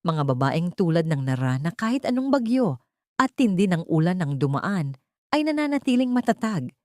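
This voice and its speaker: Woman, lowish pitch, dry close sound, dramatic audio story narration, earnest and sentimental. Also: sing-song, moderate pace, a little mellow, dry recording.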